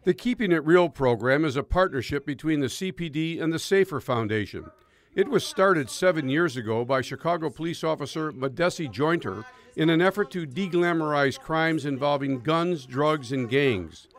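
A man narrating a local news segment in an even, measured reporting style. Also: a little high.

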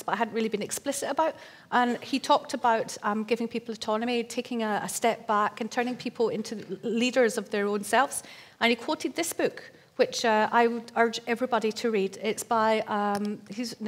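A middle-aged woman giving a conference talk and answering questions on a large stage, telling stories with lots of fillers. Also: fairly high pitch. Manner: lively storytelling with laughs and fillers. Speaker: conference speaker. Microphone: stage microphone at lectern.

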